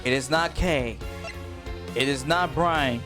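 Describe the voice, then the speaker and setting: A man voicing a calm, confident male prosecutor who reasons aloud in a measured, deliberate way over game music. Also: mid-range voice, voice pushed down, lightly acted.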